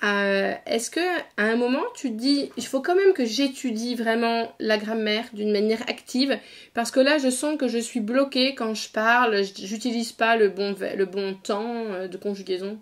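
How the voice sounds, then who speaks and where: A woman's voice: on the high side, normal speed. A woman from northeast France hosting a podcast interview, chatting in an easygoing way and often murmuring agreement. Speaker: podcast host. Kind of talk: casual conversational interview.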